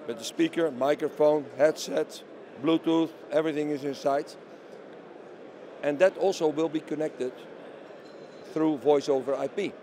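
A man's voice: on the high side, dark tone. A middle-aged man being interviewed at a trade show, explaining products and often answering his own questions. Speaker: company representative being interviewed. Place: busy trade show hall booth. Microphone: handheld interview microphone held by interviewer. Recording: faint bustling exhibition hall noise.

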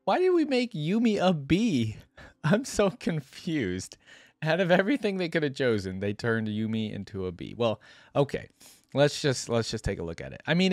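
A man's voice: mid-range voice, no room sound, clean studio sound. A young man giving casual reaction commentary in an easygoing, slangy way, thinking aloud at a desk microphone. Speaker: reaction video host. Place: indoor room at a desk, gaming chair. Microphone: desk microphone close in front, headset worn. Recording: music playing behind the voice. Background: music.

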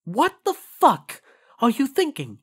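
An actor voicing an elegant, stern owl demon prince in an audio drama, with formal, deliberate, courtly speech. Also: high voice, wildly swooping pitch, very noisy, exaggerated voice. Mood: stern, worried. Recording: music under the voice.

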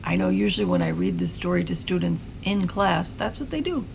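Animated woman teacher, noisy classroom recording. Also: on the low side, brisk, creaky. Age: middle-aged. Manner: animated, questioning, addressing children. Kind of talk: casual talk to young pupils. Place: school classroom, indoors.

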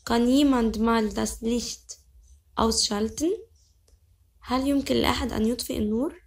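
A young woman with an Egyptian Arabic accent teaching vocabulary. She says each word slowly several times, then explains it quickly in Arabic. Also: on the high side, steady tone. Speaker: language teacher. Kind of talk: vocabulary lesson.

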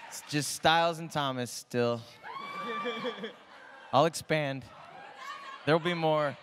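Upbeat, joking actor on a panel, crowd clearly heard. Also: male voice, a little high, slow pace, noisy background. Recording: crowd in the background.

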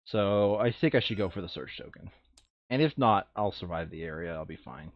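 A man's voice: deep voice, lively intonation, normal speed, a little hiss or hum. Casual man in dull, lo-fi audio. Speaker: board game player in a recorded play session. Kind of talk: casual gameplay chat.

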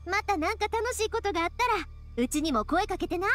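A woman's voice: very high voice, lively intonation, fast, a bit bright. A woman playing a cheerful, curious young woman in a video game, with a friendly manner and a Kansai accent. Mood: friendly, easygoing. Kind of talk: Japanese video game voice acting. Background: background music.

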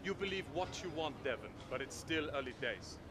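A man playing a calm German-accented young racing driver. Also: fairly high pitch, normal speed, background noise, close to an everyday voice. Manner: level-headed, conciliatory.

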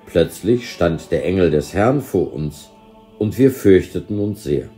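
Brief: a man playing an awed old shepherd grandfather for a storybook, low, solemn and earnest. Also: expressive, unhurried, warm tone, faint music behind. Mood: earnest awe.

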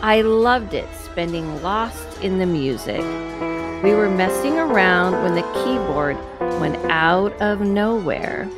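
A woman's voice: mid-range voice, lively intonation, over music. A woman in her thirties reading a paranormal story aloud, with casual asides, close to the mic in a dim room. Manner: slow, measured reading with asides. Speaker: host reading a guest's story. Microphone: headset and studio microphone close up.

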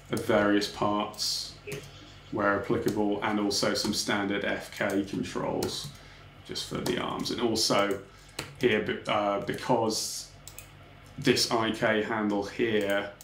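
A young man with a lowish voice walks through his 3D scene in an easy, informal tutorial, peppering his explanations with "kind of" and "um". He sits at a desk in a small home office, and the sound is roomy with a faint hum underneath. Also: gravelly. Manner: informal, technical, frequent "kind of" and "um" hedges. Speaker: 3D artist explaining his work. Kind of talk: relaxed screen-recorded tutorial walkthrough.

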